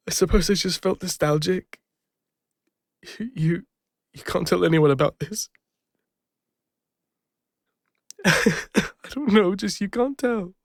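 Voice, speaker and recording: A gentle lone ranger acted by a man with an English accent, in an intimate audio roleplay. His voice sits a little high for a man, with a crackly edge. He speaks haltingly and bashfully, like a soft-hearted former healer confiding in someone close, in a very dry, close recording. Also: no room sound, heavily acted. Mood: flustered, tearful. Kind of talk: intimate scripted audio roleplay addressed to the listener.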